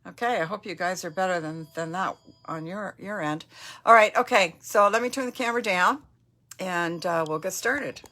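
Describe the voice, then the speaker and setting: A Canadian woman getting over a cold, with a slight cough, chatting casually and warmly with viewers as she hosts a papercraft live stream. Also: fairly low pitch, normal speed. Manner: chatty and friendly, with fillers and asides. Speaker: papercraft demonstrator.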